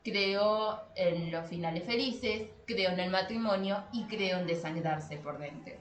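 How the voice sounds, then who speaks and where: A woman's voice: on the low side, unhurried. Argentine woman in an echoey room. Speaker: podcast host. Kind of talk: casual podcast discussion about translation. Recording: echoey room with faint noise.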